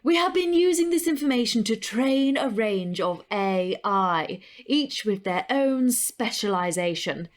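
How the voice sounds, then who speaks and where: Brisk, unflappable spy chief. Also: female voice, a little high, lively intonation. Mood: persuasive. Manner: formal, dryly authoritative. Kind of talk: sci-fi audio drama dialogue.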